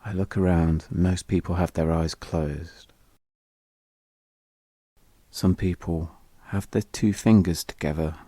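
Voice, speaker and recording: A man narrating a first-person audio memoir in a reflective way, in short, measured phrases. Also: deep voice, steady tone, mellow, slightly rough, no echo, studio quality. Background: music.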